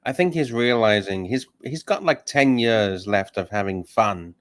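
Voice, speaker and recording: A man hosting a livestream, reading super chats aloud and chatting casually, animated and swearing. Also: lowish voice, unhurried, slight background noise. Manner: animated, swears, mocks and laughs. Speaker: livestream host.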